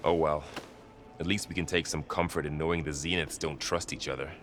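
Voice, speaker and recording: Deep-voiced, thoughtful warrior companion. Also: male voice, steady tone, brisk, fairly bright tone, slight background noise. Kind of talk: naturalistic video game acting.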